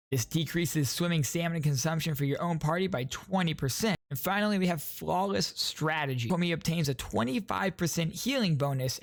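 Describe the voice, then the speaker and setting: A man's voice: a little high, creaky, no room sound. A man hosting a gaming video, giving informal explanatory commentary straight to his viewers. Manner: informal, addresses viewers as guys.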